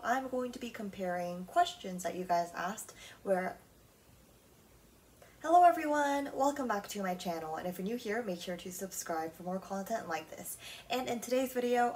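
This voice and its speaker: A woman's voice: mid-range voice, a little mellow, distant mic, a little hiss or hum. A young woman hosting her own podcast in casual solo chat, talking loosely with lots of "like" and "um". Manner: chatty, frequent "like" and "um" fillers. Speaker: podcast host.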